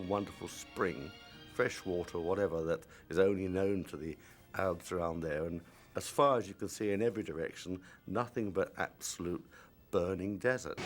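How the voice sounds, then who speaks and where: A man from a film crew recalling the production in a measured interview. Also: low-pitched. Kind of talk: reminiscing interview.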